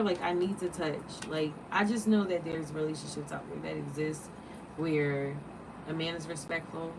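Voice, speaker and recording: A woman's voice: sits low, recorded from a distance, background noise. A woman in her late thirties hosting a livestream, talking casually and animatedly, preaching and firing off rhetorical questions. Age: 30s. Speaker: livestream host.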